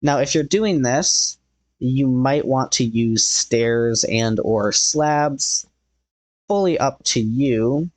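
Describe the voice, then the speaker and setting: A man walks viewers through a building tutorial in a relaxed, thinking-aloud way, his voice a little hoarse because he is losing it. He sits at a middle pitch, and the recording is dry and close. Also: slow pace, dry recording. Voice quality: slightly hoarse. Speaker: tutorial host. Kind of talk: casual game building tutorial.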